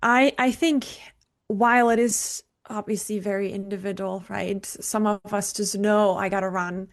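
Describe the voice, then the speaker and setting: A woman's voice: mid-range voice, steady tone, a little slow. Enthusiastic German-accented woman coach. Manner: enthusiastic, motivational, frequent fillers and restarts. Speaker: guest, business coach. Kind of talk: radio interview, conversational.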